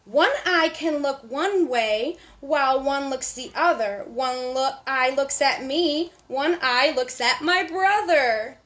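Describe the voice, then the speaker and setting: Slow, high-voiced woman reading to children. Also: a bit bright. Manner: sing-song, deliberate reading with asides to children. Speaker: children's storytime host. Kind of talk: read-aloud storytelling for young children.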